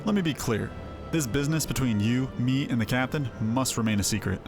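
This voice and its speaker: An actor playing a roguish former mercenary in a video game, jovial yet menacing, with wry and theatrical delivery. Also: male voice, mid-range voice, brisk, over music, close to an everyday voice. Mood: wry, threatening.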